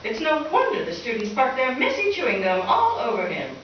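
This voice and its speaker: A woman's voice: sits high, wildly swooping pitch, fairly quick, a bit bright, lo-fi sound, heavily acted. A woman playing a wry, sarcastic schoolteacher with dry, arch wisecracks in an old-time radio sitcom style. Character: wry, sharp-witted female schoolteacher, lovable and sarcastic. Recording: echoey live hall, distant mic, faint noise.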